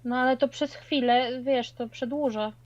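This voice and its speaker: High-voiced, slangy woman playing games. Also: steady tone, moderate pace, dull audio. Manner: slangy, peppered with English words and swearing. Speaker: co-player in a multiplayer game. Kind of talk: casual gaming chat.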